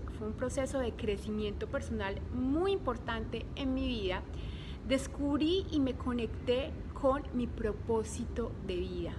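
A woman's voice: a little high, noisy background. A woman in her thirties, raised in Colombia, tells viewers her story as an image consultant, in a flowing way aimed straight at the audience, from a living room at home. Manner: warm, flowing, addresses viewers directly. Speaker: image consultant and online course creator. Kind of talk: personal story to camera.

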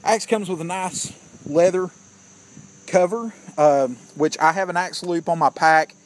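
A man with a fairly high-pitched voice talks through an outdoor gear review in a casual, plainspoken way. He is opinionated and keeps addressing his viewers as guys. Crickets chirp behind him. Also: a little slow. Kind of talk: casual product review vlog.

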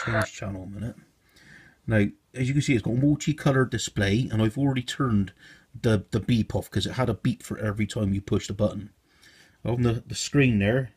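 A man's voice: lowish voice, husky. A man giving an informal, unscripted product walkthrough in a hesitant, explanatory way, like a hobbyist presenter.

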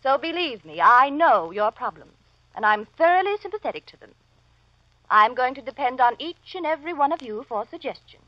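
Muffled old radio recording, pompous woman supervisor, very high, swooping voice, formal, officious. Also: very animated, brisk, no echo. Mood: self-important. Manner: pompous, formal speech-making. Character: officious, self-important female office supervisor. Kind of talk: vintage radio comedy acting.

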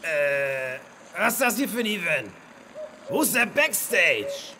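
An actor in a radio play playing a dazed dead metal musician, confused and slangy. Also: male voice, high-pitched, sing-song, takes it slowly, heavily acted. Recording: clearly noisy background.

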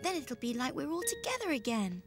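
A woman's voice: high-pitched, very animated, fairly quick, over loud music. A woman playing a sweet, devoted, childlike young girl in a video game cutscene, speaking earnestly. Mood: earnest, hopeful.

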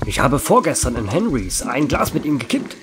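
An actor playing a gruff, rattled small-town sheriff in a German horror radio play, commanding and blunt. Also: male voice, mid-range voice, sing-song, moderate pace, background noise, lightly acted. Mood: grim, subdued. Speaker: actor in an audio drama.

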